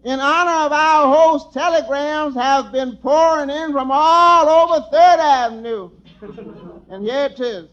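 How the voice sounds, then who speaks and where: An actor playing a sly, deadpan waiter serving as toastmaster in a 1940s radio comedy. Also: male voice, very high voice, measured pace, dull audio. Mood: droll. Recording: noisy old radio recording, loud audience.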